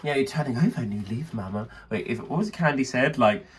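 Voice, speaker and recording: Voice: mid-range voice, lively intonation, fairly quick, slightly rough, recorded from a distance. A British podcast host in their twenties chatting casually in a campy, slangy way. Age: 20s. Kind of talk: casual chat.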